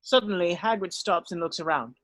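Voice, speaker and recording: A man's voice: high-pitched, fairly quick, a bit bright, no echo. A man reading stage directions aloud as the narrator of a script read.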